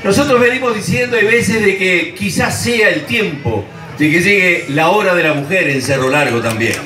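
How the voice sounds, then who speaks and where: A man's voice: high voice, moderate pace, a little hiss or hum. A man with a Uruguayan accent making a rousing, proclaiming introduction as presenter at a political rally. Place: outdoor rally stage. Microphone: PA microphone, filmed from afar. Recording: distant echoing PA, loud crowd, music. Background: loud crowd and music.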